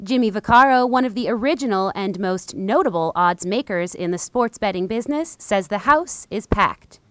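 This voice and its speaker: A woman's voice: medium pitch, lively intonation, normal speed, no echo. A woman reporter delivering scripted news narration for a television package.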